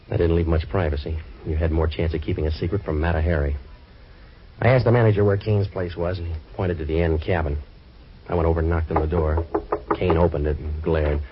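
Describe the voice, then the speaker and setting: An actor playing a wisecracking waterfront boat-renter turned private eye, narrating in hard-boiled first person. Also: male voice, extremely low pitch. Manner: dry, sardonic wisecracks. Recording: dull old radio recording with faint hiss.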